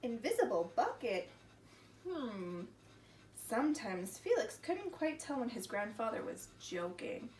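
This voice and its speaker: Woman, preschool story-time host. High-pitched, unhurried, sing-song and instructive, with faint noise on a distant recording. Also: big pitch swings, darkish tone, roomy sound. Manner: sing-song, instructing young children with simple questions. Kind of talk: children's lesson and read-aloud.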